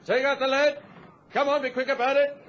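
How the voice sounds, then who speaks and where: An actor playing a brisk, commanding sailing-ship officer in an old radio adventure drama, urgent and used to giving orders. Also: male voice, very high voice, little pitch movement, fairly bright tone. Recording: noisy, muffled vintage radio recording.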